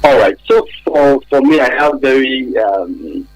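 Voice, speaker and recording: A man's voice: mid-range voice, expressive, unhurried, faint music behind, poor audio. A man with a Nigerian accent giving hesitant, explanatory answers as an expert guest in a radio interview. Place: radio studio.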